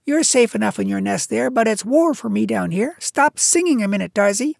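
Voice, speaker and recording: A woman voices a fierce little male mongoose, brisk and impatient, with a lifted, slightly husky voice. The scolding tone is sharp, and the room is dry. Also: fairly high pitch, expressive, no echo, voice pushed up, full character voice.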